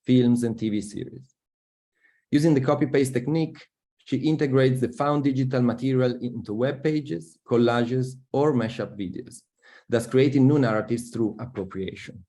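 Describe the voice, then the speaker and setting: A man's voice: mid-range voice, dark tone. Man formally reading a host's introduction. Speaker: event host.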